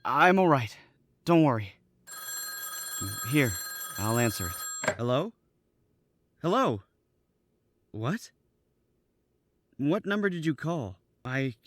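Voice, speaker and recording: A man's voice: a little high, animated, takes it slowly, pauses often, a bit bright, a little hiss or hum. A young man playing a frightened, stammering accomplice in a 1940s-style radio drama. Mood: panicky. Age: young adult. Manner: stammering and panicky.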